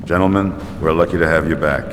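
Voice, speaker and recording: A deep-voiced dignified old admiral. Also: male voice. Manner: formal, measured military speech. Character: older human fleet admiral, dignified and commanding. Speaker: fleet admiral. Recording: game cutscene with long reverb and noise.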